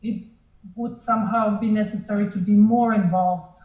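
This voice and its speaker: A woman's voice: medium pitch, fairly even intonation, moderate pace. A woman giving unscripted, hesitant remarks as a panelist, searching for words as she goes. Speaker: film education panelist. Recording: echoey room, dull band-limited sound.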